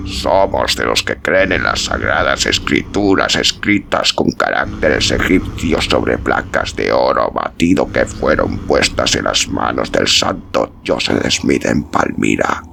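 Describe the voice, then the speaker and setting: A man's voice: very deep voice, creaky, fairly bright tone, a little hiss or hum, voice pushed down, exaggerated voice. A man voicing a severe, devout young pilgrim in a grave, reverent and reproving manner.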